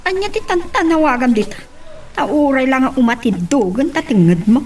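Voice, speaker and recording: Woman acting gossipy wife, Ilocano accent, high-pitched, lively, dramatic, music underneath. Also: moderate pace.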